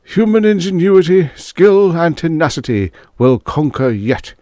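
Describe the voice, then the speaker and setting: A man acts out a British Prime Minister in a science fiction audiobook. He declaims a grave, resolute address to the nation. The pitch is lifted well up for the oratory, and the sound is close and dry. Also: sing-song, measured pace, mellow, no room sound. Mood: rousing, defiant.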